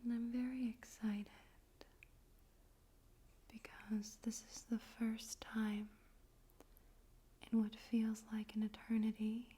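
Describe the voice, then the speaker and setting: Breathy, whispering woman, slow ASMR delivery. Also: on the high side, flat delivery, dark tone. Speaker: ASMR host and poetry reader. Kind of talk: ASMR, soft unhurried talk and poetry reading. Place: room in a home in daytime.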